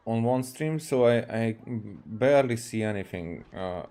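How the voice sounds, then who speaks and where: A man from Hungary with a Hungarian accent, casually streaming a game and talking haltingly with many fillers and restarts. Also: mid-range voice, lively intonation, dark tone. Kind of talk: casual livestream commentary, reading game dialogue aloud.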